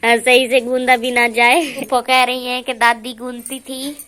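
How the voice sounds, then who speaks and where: A woman's voice: a little high, little pitch movement, measured pace, fairly bright tone, smooth. A woman with a Pakistani Urdu accent, chatting cheerfully to viewers in a casual cooking vlog while she has a cold. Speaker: vlogger.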